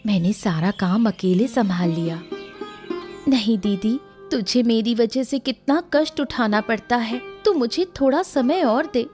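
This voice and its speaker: A woman acting a poor, devoted village working woman in audio story dialogue, gentle and burdened like a caring sister. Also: mid-range voice, natural voice.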